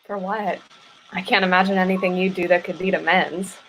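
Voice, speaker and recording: A woman's voice: fairly low pitch, fairly even intonation, brisk, fairly bright tone, poor audio. A woman in a live play reading, playing a guarded but serene woman with a wry, hesitant way of talking. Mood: wary, puzzled. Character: guarded, serene woman meeting an old friend.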